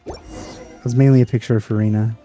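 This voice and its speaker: A man casually commenting while playing a video game, relaxed and musing, quick to laugh. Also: mid-range voice, faint music behind. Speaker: gaming video host. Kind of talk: casual let's-play commentary.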